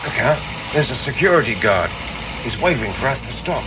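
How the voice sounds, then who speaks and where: An actor in a radio drama playing a cocky working-class driver, blunt, clipped and informal. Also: male voice, mid-range voice, sing-song, normal speed, natural voice. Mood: alert, clipped. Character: cocky working-class male getaway driver posing as plumber. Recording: noisy vehicle ambience behind voice.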